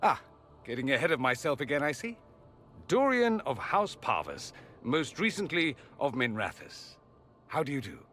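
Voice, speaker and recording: An actor playing a witty, self-assured foreign mage in a video game, with an arch, flamboyant manner. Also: male voice, mid-range voice, very animated, normal speed, fairly bright tone. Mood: breezy, charming. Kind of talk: video game dialogue acting.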